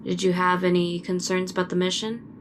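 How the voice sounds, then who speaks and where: An actress playing a measured interviewer questioning a soldier in a sci-fi audio drama. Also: female voice, on the low side, little pitch movement, background noise, natural voice. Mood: measured, probing.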